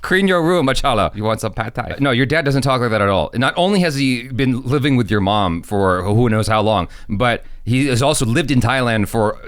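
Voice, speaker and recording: A man co-hosting a movie reaction chat, animated and teasing, with many restarts. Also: mid-range voice, moderate pace, smooth, no room sound, studio quality. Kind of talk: casual chat.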